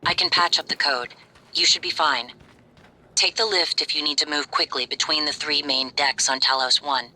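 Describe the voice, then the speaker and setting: An actress playing a calm, analytical guide who briefs the player in a video game, measured and clinical. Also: female voice, deep voice, slight background noise. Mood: intrigued, reassuring. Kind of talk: scripted game dialogue.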